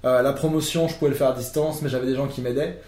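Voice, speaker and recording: A man's voice: mid-range voice, fairly even intonation, quick pace, mellow. A young French man, a podcast guest, explaining at length in a casual conversation with many fillers and restarts. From France. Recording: echoey room.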